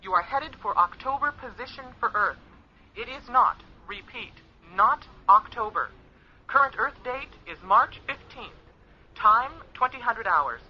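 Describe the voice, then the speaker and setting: A woman's voice: a little high, animated, a little slow. An actress playing an earnest, analytical spaceship crewwoman in a radio drama, heard through a radio filter. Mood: urgent, insistent. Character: Margot, earnest analytical female spaceship crew member from the future. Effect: radio transmission filter. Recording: muffled, noisy radio transmission.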